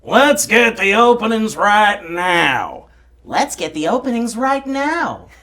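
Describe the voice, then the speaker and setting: A parade of theatrical character voices. The delivery is slow and deliberate, and the pitch swings to extremes from one character to the next. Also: male voice, very animated, bright, forceful tone, full character voice. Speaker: voice actor. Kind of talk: voice acting demonstration.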